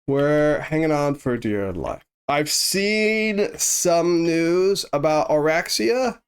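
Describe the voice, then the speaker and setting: A man's voice: a little high, expressive, takes it slowly, clear, resonant tone. A man streaming live, chatting casually with viewers in a rambling, easygoing way while he plays, his voice congested and a bit sniffly. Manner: rambling, easygoing, thinks aloud with many restarts.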